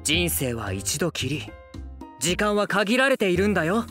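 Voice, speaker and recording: Playful, whiny young anime lord. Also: male voice, sits high, big pitch swings, measured pace, a bit bright, soft background music, heavily acted. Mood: breezy, teasing. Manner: sing-song wheedling, theatrical pouting. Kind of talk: anime voice drama comedy acting.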